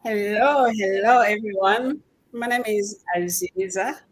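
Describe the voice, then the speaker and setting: An older Congolese woman, a grandmother, introducing herself on a video call in a measured, hesitant way. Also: mid-range voice, husky, no echo, poor audio. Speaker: guest. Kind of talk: self-introduction on live stream.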